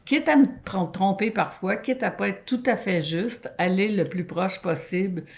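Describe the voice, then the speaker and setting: A woman in her sixties with a Quebec French accent, a sports broadcaster telling stories in an animated interview, often restarting her sentences. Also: mid-range voice, normal speed, creaky. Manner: animated, storytelling with many restarts. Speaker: guest, sports play-by-play announcer. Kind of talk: conversational interview.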